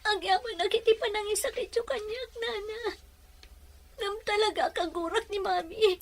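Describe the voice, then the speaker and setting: A woman acting as a heartbroken young daughter in an audio melodrama. Her voice is extremely high, and her delivery is emotional, wounded and defiant. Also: moderate pace. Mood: wounded, despairing. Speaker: actor in an audio drama. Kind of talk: melodramatic Ilocano radio-drama acting.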